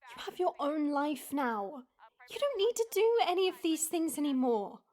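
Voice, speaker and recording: A woman's voice: high-pitched, big pitch swings, normal speed, darkish tone. A woman playing a worried wife and mother in an audio drama, firm but teasing with her partner. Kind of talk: audio roleplay acting.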